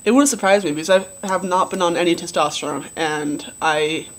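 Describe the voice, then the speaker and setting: A young man with a relatively low voice giving a casual video diary update, rambling with many ums and likes. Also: fairly quick, a bit bright, poor audio. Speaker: vlogger.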